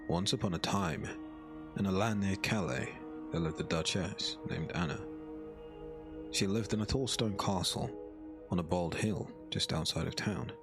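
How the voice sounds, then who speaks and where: A man narrating a scripted dark fairy tale as a storyteller, in a measured, solemn manner. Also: sits low, over music.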